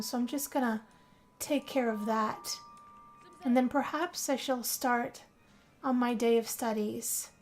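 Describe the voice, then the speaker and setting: A woman playing a homesick, dramatic young female music student who complains theatrically in a game role-play narration. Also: a little high. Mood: irritated.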